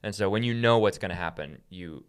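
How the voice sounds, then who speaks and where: A man's voice: low-pitched, fairly quick, clean tone. A man speaking as a podcast host, teaching the Bible in a conversational way and often checking in with "right?".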